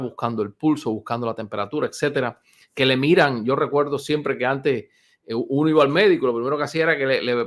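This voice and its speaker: A man of fifty who works as a doctor explains health matters to his audience in a relaxed, chatty way. He speaks at an ordinary pitch for a man and fills his sentences with pauses and restarts. He is recorded on a single close mic. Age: 50s. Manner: unhurried, many fillers and restarts, conversational. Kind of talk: informal health talk to viewers.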